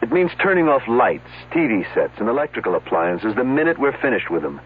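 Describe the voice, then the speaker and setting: A man's voice: medium pitch, big pitch swings, fairly quick, husky. A man reading a public service announcement in an earnest, emphatic way. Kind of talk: scripted announcement. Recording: tinny old radio broadcast.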